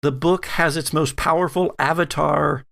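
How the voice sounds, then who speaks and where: A man voicing a solemn old grandmother, a grave mystic who pleads in halting, weighty pauses, in a tabletop game. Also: on the high side, fairly even intonation, vocal fry, a bit bright. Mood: solemn, foreboding.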